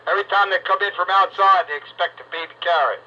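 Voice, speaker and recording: A man with a very bright, fairly high voice talks casually over the radio. The sound is narrow and a little dull, with some noise behind him. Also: steady tone, quick pace. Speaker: amateur radio operator. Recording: noisy, band-limited radio transmission.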